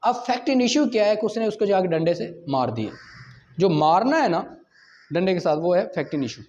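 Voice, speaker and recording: A man's voice: high-pitched, very animated, fairly quick, a little mellow. A Pakistani man lecturing as a law teacher, explaining step by step in a didactic way, often asking questions and answering them himself. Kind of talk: explanatory exam-prep lecture mixing Urdu with English legal terms. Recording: dull lo-fi lecture audio.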